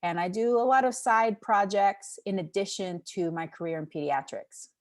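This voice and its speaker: A woman's voice: mid-range voice, moderate pace. A woman in her forties from Texas, a pediatrician giving a friendly, encouraging webinar presentation over a video call. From Texas, United States. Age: 40s. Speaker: pediatrician, guest speaker.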